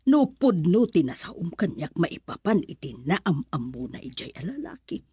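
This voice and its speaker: Expressive, husky Ilocano auntie. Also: female voice, fairly low pitch, normal speed, no room sound, clean studio sound, exaggerated voice. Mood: earnest, advising. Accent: Filipino, Ilocano. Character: caring older aunt, advising her relatives. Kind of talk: radio drama acting.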